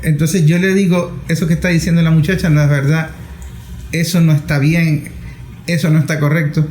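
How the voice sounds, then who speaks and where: Echoey, slightly high-voiced man. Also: little pitch movement, normal speed, darkish tone. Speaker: interviewer. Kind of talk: conversational interview with personal anecdotes. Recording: echoey room with faint noise.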